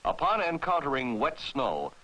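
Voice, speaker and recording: An American man narrating a 1960s military training film in a formal, authoritative, clearly enunciated way, on a vintage film soundtrack. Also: medium pitch, huge pitch swings, normal speed, bright, forceful tone, low-quality recording.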